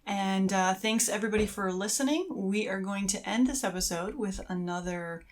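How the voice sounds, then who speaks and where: A woman's voice: mid-range voice, moderate pace. A woman co-hosting a podcast in casual, conversational chat. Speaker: podcast co-host.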